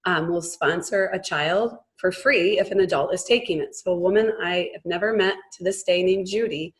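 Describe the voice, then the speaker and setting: A woman in her thirties with a hoarse voice, having mostly lost it, earnestly sharing her personal story as a guest on a business call. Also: on the low side, moderate pace. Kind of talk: personal testimonial.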